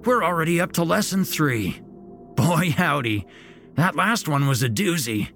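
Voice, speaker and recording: A man's voice: fairly high pitch, expressive, measured pace, a bit bright, soft background music, voice pushed up. A man voicing a sinister, falsely cheerful old grandfather with a folksy, chipper manner that turns menacing.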